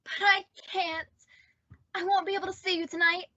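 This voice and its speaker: A woman's voice: extremely high pitch, level tone, normal speed, bright, forceful tone, dry recording, muffled recording. A woman playing a dramatic, lovestruck and earnest teenage girl in an old-time radio comedy. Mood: lovesick, regretful.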